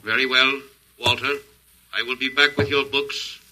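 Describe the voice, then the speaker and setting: An actor in an old radio drama playing an emotionless, literal-minded alien conqueror with formal, precise, stilted phrasing. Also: male voice, mid-range voice, flat delivery, normal speed, noisy background, lightly acted. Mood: impassive.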